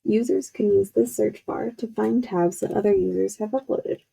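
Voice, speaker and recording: A young woman, giving a guided walkthrough of a software product. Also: medium pitch, steady tone, moderate pace, creaky, a little hiss or hum, rough recording. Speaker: student demo presenter.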